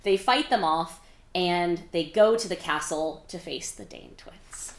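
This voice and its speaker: A woman's voice: fairly low pitch, unhurried, reverberant. A woman hosting a readalong as its author, recapping the story casually and wryly with dramatic emphasis and some swearing. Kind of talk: casual, animated book recap.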